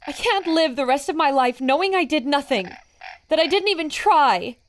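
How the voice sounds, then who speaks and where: A high, very animated voice: a plucky woman war correspondent in an audio adventure. She speaks earnestly and with determination, as if reporting to her listeners, over faint background noise. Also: normal speed. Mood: troubled. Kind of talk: audio adventure drama acting.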